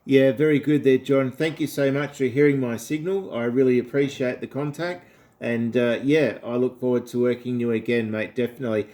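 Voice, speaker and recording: A man's voice: mid-range voice, steady tone, brisk, mellow. An Australian man talking casually and politely as an amateur radio operator. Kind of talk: casual ham radio chat.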